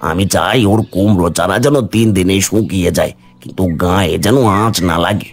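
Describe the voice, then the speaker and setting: A man's voice: sits low, normal speed, soft background music. A man voicing a scheming, envious henchman who is servile to his boss in a village cartoon. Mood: sly, conspiratorial. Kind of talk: cartoon story dialogue.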